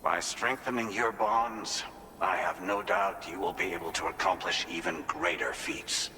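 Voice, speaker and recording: Low, stately President in a hall. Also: male voice, bright, forceful tone. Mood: stately, approving. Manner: measured, formal, stately. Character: calm, formal male President congratulating a courier. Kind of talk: scripted video game cutscene dialogue. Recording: long hall reverb, faint noise.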